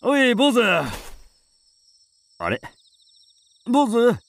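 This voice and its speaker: A rough country farmer. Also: male voice, very high-pitched, big pitch swings, extremely slow, heavily acted. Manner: rough country dialect speech. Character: rural farmer man, rough and hearty.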